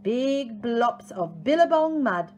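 A woman's voice: on the high side, very animated, slow, darkish tone, muffled recording, a little hiss or hum, pitched above the performer's own voice. A woman voicing a chatty, confident male platypus in a storybook, giving emphatic advice.

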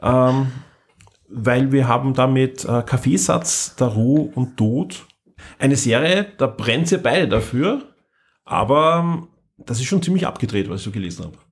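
A man's voice: medium pitch, expressive, a little slow. A man hosting a podcast, moderating the chat in a hesitant way with many fillers. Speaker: podcast host.

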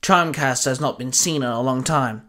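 A man's voice: medium pitch, normal speed, fairly bright tone, natural voice. A man voicing a determined young heroine in a narrated story, with a pressing, snappy manner. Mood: matter-of-fact.

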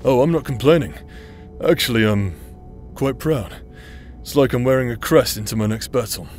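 A man's voice: medium pitch, normal speed, darkish tone, faint music behind, lightly acted. A man acting out a cocky, battle-worn adventurer, protective and teasing, in an audio roleplay. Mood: tender, flustered.

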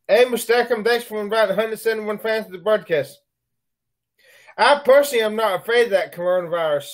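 A man's voice: sits high, fairly quick. A middle-aged man from the United States, chatting on a live broadcast in his bedroom and thanking viewers as he goes. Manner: chatty, thanks viewers for likes between remarks. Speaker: livestream host. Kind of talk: casual live broadcast chat. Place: bedroom at night, indoors.

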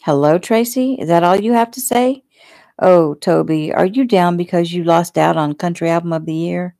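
A woman's voice: on the low side, fairly even intonation, normal speed, vocal fry, dark tone, dry recording, studio quality. A woman narrator voicing a demanding, clingy young fiancée in an audiobook, theatrical, pleading and indignant. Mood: gushing, breezy.

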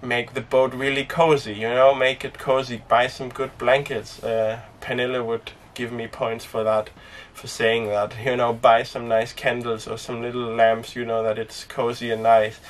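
Young man, non-native speaker, casual vlog talk, relaxed, filler-heavy, middle pitch, slightly distant mic. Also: moderate pace, few pauses, a little hiss or hum. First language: Danish. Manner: relaxed and rambling, with frequent fillers and small laughs. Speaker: sailing vlogger. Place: inside a small sailboat cabin and cockpit tent.

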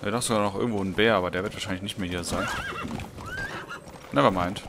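Low-voiced man doing gaming commentary. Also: fast, vocal fry, noisy background. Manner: rambling, talking to himself. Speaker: let's play host. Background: video game sounds.

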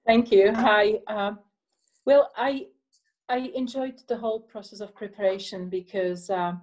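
A middle-aged woman sharing her experience on a video call from her living room, measured and articulate. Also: mid-range voice, darkish tone, rough recording. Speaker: festival participant.

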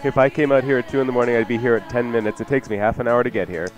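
A man's voice: mid-range voice, fairly even intonation, very fast. A man co-hosting a live radio show with casual, folksy, plainspoken chat. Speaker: radio cooking show co-host and chef. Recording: music bed behind 1990s radio broadcast. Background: music.

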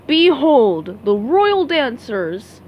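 A woman's voice: high-pitched, big pitch swings, very slow, highs cut off, a little hiss or hum, pitched below the performer's own voice, full character voice. A woman voicing a pompous, commanding male sea king who makes proclamations, in an amateur cartoon dub. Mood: grand.

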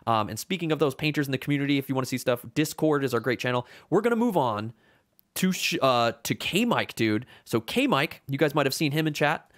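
A man in his thirties chats excitedly as co-host of a board game live stream. He laughs often and talks over a webcam from a home room, voice sitting mid-range for a man. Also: medium pitch, brisk. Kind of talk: casual live-stream chat. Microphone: webcam video call.

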